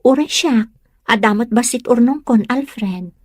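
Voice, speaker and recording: A woman's voice: fairly high pitch, a little slow, no room sound, clean studio sound. A woman playing an earnest, stubborn young village woman, hurt by gossip, in an audio drama. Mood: hesitant, tender. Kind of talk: Ilocano audio drama acting.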